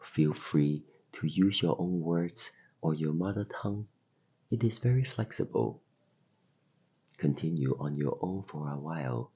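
A man with a very deep, husky voice guides a meditation at an unhurried pace. His phrasing is gentle and soothing, with pauses between instructions. The recording sounds somewhat dull. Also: expressive. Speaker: meditation guide and podcast host.

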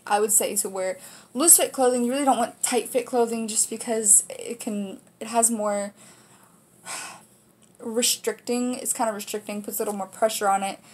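A woman's voice: a little high, steady tone, moderate pace, a little mellow. Rambling young American woman, casual. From United States, Kansas. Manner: informal, rambling explanations with casual swearing. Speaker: video host. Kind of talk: casual vlog chat to camera. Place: small room indoors.

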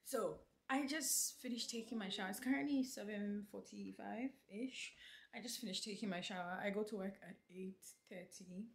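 A woman in her twenties vlogging casually at home, talking to viewers with frequent laughs and animated asides, filmed on a handheld camera. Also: medium pitch, normal speed, vocal fry, roomy sound. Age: 20s. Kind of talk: casual vlog chat. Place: small apartment kitchen and bedroom indoors. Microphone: handheld camera at arm's length.

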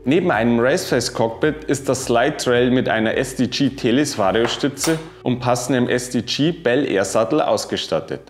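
A man in his thirties giving a scripted bike review, reading specifications in an even, informative way. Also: medium pitch, normal speed, hardly any pauses. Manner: even, informative delivery dense with specs. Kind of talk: scripted product review narration. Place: wooden-walled workshop room, indoors. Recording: roomy sound with faint music.

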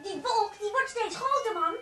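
An adult actor voicing an excitable young child in a children's audio play, exclaiming and repeating words. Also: extremely high pitch, moderate pace. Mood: eager. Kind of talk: children's audio drama acting. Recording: noisy home-made recording, distant mic.

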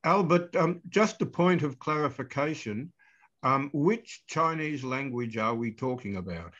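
A man's voice: medium pitch, animated, a little slow, a bit bright, muffled recording. A man asking a clarifying question in an online class, hesitant with many ums.

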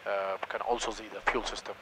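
Male first officer, low flat voice, halting with fillers, steady cockpit engine noise behind. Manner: hesitant, many uh fillers, technical explanations. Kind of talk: explaining the cockpit to camera. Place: airliner cockpit in flight.

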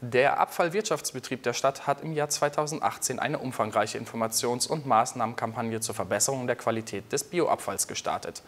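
A man's voice: mid-range voice, fairly quick, hardly any pauses, slight background noise. A man in his twenties presenting scripted news in a studio. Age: 20s.

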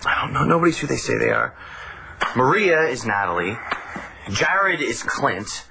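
An anxious young man with a lively, swinging delivery, quick to needle. Noise sits under the broadcast track. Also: medium pitch, vocal fry. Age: young adult.

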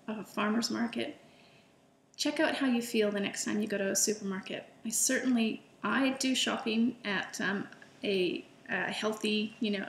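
A middle-aged woman talks to camera, chatty and enthusiastic, often restarting her sentences. Her voice sits at an ordinary pitch. The room around her sounds roomy, with a faint hum of ambience. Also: gravelly. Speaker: illustrator and food vlogger. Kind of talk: casual personal storytelling to camera.

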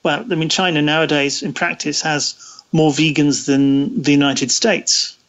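A man being interviewed as a guest, explaining things in a halting, discursive way with false starts. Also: a little high, steady tone, a bit bright, highs cut off.

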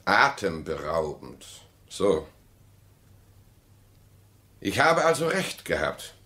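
Sharp, husky, evasive husband. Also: male voice, sits low, sing-song, heavily acted. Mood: dry, skeptical. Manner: clipped, deliberate, dry. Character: evasive, mistrustful husband, drily sardonic. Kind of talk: German radio play acting.

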